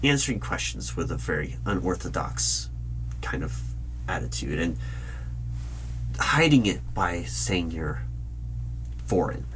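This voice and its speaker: Deep-voiced man, probing interviewer with lively pitch. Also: low-quality recording. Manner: questioning, deliberate, dramatic pauses. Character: probing, suspicious male hiring manager of a secret bureau. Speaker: roleplay performer playing a hiring interviewer. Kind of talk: loud-spoken ASMR roleplay, one-sided interview. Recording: clear hum and keyboard typing noise. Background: typing on a computer keyboard.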